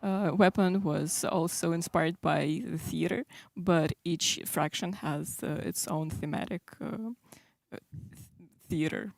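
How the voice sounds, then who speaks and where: A woman's voice: lowish voice, fairly even intonation, measured pace, creaky, no room sound. A woman co-hosting a livestream, speaking hesitantly with many fillers. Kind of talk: casual chat on an official livestream.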